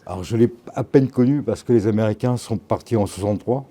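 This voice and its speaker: An older man from Normandy, in his sixties, talks in a low, dark voice. He rambles through anecdotes in loose slang, restarting and circling back as he goes. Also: fairly quick. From Normandy, France. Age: 60s. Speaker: tattoo artist and photographer. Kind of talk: casual interview, storytelling.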